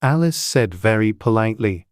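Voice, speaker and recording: A woman's voice: rumbling low, animated, moderate pace, a little mellow, dry recording, clean studio sound. A woman reading a scripted storybook narration as an audiobook narrator.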